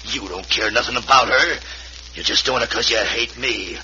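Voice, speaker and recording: An actor playing a hostile, unhinged American farmer in a radio western, gruff and menacing, with rustic speech. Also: male voice, mid-range voice, moderate pace, sharp tone, highs cut off. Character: Frank, bitter, hostile, half-crazed farmer with a shotgun. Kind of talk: 1950s radio western acting. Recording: noisy old radio recording.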